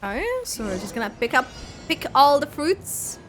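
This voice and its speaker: A woman's voice: high voice, animated, moderate pace, fairly bright tone, slight background noise. A woman streamer giving casual reaction commentary over a video game, musing in asides that often trail off. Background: game music.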